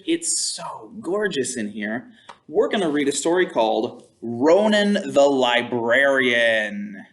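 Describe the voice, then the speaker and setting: A man reads a children's story in a high, very lively voice. His pace is unhurried and theatrical, with drawn-out emphasis. He breaks off for playful, exclaiming asides. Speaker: children's storytime host reading a picture book aloud. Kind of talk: expressive children's read-aloud with playful asides.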